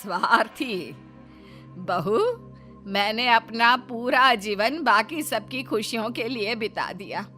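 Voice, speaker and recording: A woman's voice: fairly high pitch, slow pace, bright, forceful tone, soft background music. A woman playing a wounded but determined elderly widowed mother in a melodramatic family story, impassioned and pleading. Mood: hurt, impassioned.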